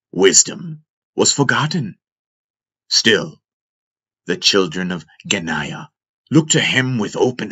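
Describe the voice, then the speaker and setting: Deep-voiced man narrating solemn fantasy, slowly. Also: sharp tone. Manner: solemn, deliberate storytelling with dramatic emphasis. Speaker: audiobook narrator. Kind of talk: scripted fantasy audiobook narration.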